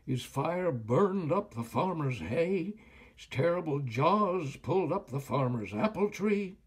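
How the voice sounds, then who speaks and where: An older man reading a children's story aloud in an expressive, deliberate, dramatic way. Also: fairly high pitch, breathy edge. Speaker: storyteller, actor.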